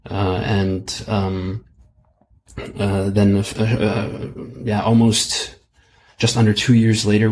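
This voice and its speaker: A man's voice: on the low side, darkish tone, a little hiss or hum. A man being interviewed as a guest, talking casually over a video call and hesitating with many fillers. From Germany.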